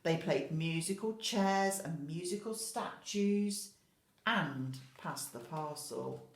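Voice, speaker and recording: A woman's voice: low-pitched, slow. A woman teacher reading a picture book expressively to young children, addressing them directly with questions and asides. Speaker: infant school teacher reading a story. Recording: roomy, echoey indoor sound.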